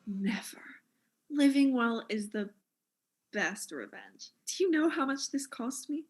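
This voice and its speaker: Casting: woman as vain fashion mogul for comic audio mystery, higher-pitched, theatrical, condescending, flippant. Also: lively intonation, unhurried, slightly rough, heavily acted. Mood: indignant, boastful.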